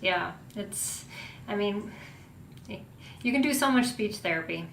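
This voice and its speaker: A woman host who chats casually, laughs often and keeps affirming her guest. Her voice sits in a roomy, echoey space, with a faint rumble underneath. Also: expressive, unhurried, gravelly. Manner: affirming, laughs often, many back-channel replies. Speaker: podcast host. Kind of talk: casual podcast conversation.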